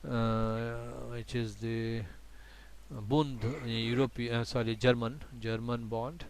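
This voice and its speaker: A man's voice: medium pitch, little pitch movement, slow, slight background noise. A man whose first language is not English, teaching a live online trading class over a video call, talking with many fillers and restarts.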